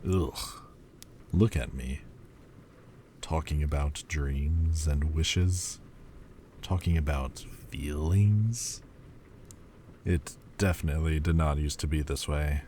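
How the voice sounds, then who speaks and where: A man voicing an ancient male demon lord, quietly confessing in a slow, halting way in an audio roleplay. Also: a bass voice, slight background noise. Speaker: voice actor.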